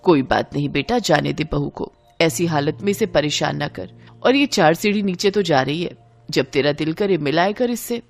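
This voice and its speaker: A patient middle-aged mother-in-law from an audio family tale, played by a woman, speaks in a lowered voice. Her manner is mild and coaxing, over faint music. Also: animated, normal speed, creaky, heavily acted. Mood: placating.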